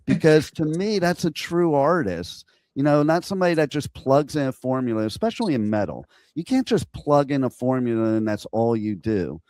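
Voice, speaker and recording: A man's voice: mid-range voice, expressive, a little mellow, no echo. Enthusiastic male radio host, dry sound. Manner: enthusiastic, talks in quick run-on bursts. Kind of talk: casual interview chat.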